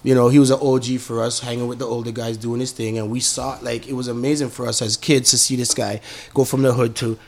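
A middle-aged man, a musician being interviewed, telling stories in a relaxed, slangy way and often adding "you know what I mean". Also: medium pitch, fast, hardly any pauses. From Toronto, Canada. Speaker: musician guest. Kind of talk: casual interview storytelling.

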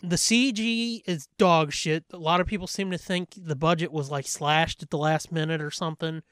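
High-voiced, blunt, sweary man. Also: fairly even intonation, normal speed, a bit bright, clear, resonant tone, dry recording, clean studio sound. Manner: blunt, freely profane. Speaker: podcast co-host. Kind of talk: casual, opinionated film chat.

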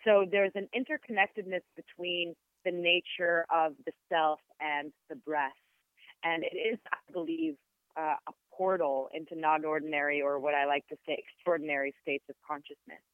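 A woman with an Israeli accent, a Hebrew native speaker, explaining things as a podcast guest in a measured, searching way, with frequent fillers and restarts. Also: sits low, steady tone, clear, resonant tone, dry recording. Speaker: podcast guest, sound therapist. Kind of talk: reflective explanatory interview talk. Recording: tinny phone-like line, dry.

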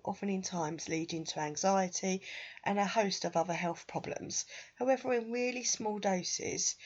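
A woman hosting her own podcast while she has a cold, sounding nasal and a bit stuffy, talking in a chatty way and laughing at herself. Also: lowish voice. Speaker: podcast host. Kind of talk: solo podcast talk. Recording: dull lo-fi sound.

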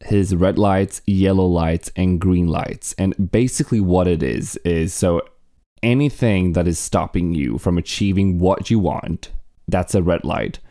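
A man's voice: low-pitched, a little slow, mellow, no echo, studio quality. A man whose first language is Swedish, hosting his own podcast in a casual, earnest and upbeat monologue as an actor.

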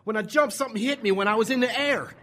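A man's voice: extremely high pitch, quick pace, background noise. A man playing an earnest, dogged young American army private in a war radio drama, speaking plainly and urgently. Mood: panicked. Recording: loud battle sound effects behind.

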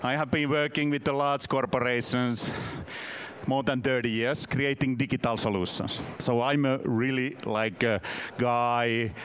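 A man from Finland in his fifties with a Finnish accent, giving a halting, self-deprecating conference talk in a second language. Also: medium pitch, steady tone, fairly bright tone, a little hiss or hum. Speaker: conference speaker. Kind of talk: conference presentation. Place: conference session room.

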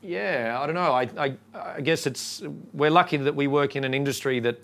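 A man with an Australian accent, a brewery co-owner giving a relaxed, halting talk to a crowd. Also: mid-range voice. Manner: relaxed and halting, lots of ums. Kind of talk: casual talk to a shop crowd.

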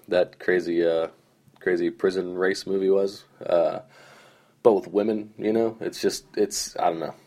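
A young man with a hoarse, groggy voice, rambling through casual stories on his solo podcast with lots of fillers. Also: sits low, darkish tone. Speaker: podcast host.

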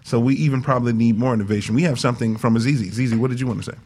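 Fast-talking man co-hosting a radio discussion. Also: mid-range voice, steady tone, a little mellow. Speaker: radio show co-host.

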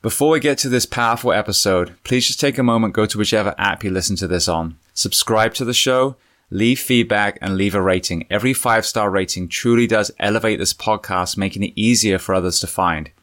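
Earnest low-voiced male podcast host. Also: fairly bright tone. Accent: British. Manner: earnest, measured, with fillers and restarts. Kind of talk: podcast interview. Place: home.

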